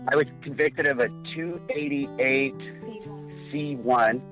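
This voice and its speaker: A man's voice: medium pitch, fairly even intonation, slow, a bit bright, soft background music, poor audio. A man being interviewed, giving a personal account.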